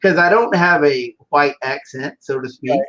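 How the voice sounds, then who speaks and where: A man's voice: a little high, normal speed, a bit bright, highs cut off. A man from Houston with a Texas accent and Spanish as his first language, chatting casually as an interview guest. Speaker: interview guest, business owner. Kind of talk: casual chat about his background.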